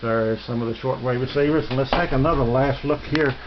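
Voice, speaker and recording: An American man giving a casual, unscripted tour of his radio room in a halting, rambling, folksy and polite way. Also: medium pitch. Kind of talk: casual unscripted show-and-tell narration. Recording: dull lo-fi home video, faint noise.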